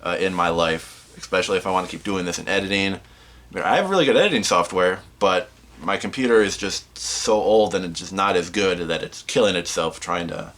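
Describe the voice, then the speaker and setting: A man hosting a casual movie collection vlog, rambling and halting as he interrupts himself, slightly sniffly from a cold. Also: low-pitched, fairly bright tone, a little hiss or hum. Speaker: host of a movie collection video channel.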